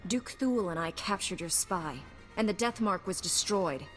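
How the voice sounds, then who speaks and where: An actress playing a calm, earnest young Jedi knight in a video game, composed and firm. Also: female voice, mid-range voice, moderate pace, close to an everyday voice. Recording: reverberant hall with music behind.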